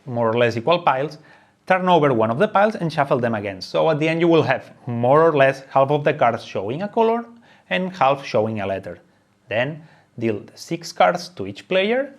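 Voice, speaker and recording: A man presenting an unscripted how-to explanation of a game. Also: medium pitch. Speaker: presenter of a board game tutorial.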